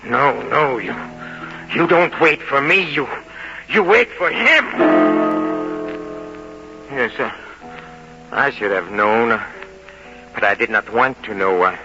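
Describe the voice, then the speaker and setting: An actor playing a tipsy, jealous, brooding man bent on revenge in an old-time radio drama, speaking in halting, repetitive, theatrical phrases. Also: mid-range voice, animated. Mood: bitter jealousy. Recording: dull old radio recording, music behind.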